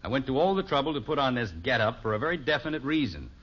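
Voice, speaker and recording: A man's voice: mid-range voice, big pitch swings, quick pace. An actor playing a flustered, wordy, well-meaning middle-aged suburban father in a 1950s radio sitcom, with an American accent and a hesitant, mock-formal manner. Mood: exasperated, preachy. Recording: muffled, noisy old radio recording.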